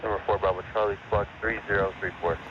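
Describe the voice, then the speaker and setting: Fast, monotone man on noisy aviation radio. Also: fairly low pitch. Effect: aviation radio transmission. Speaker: air traffic controller. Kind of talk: clipped procedural radio phraseology. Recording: muffled, loud static-filled aircraft radio.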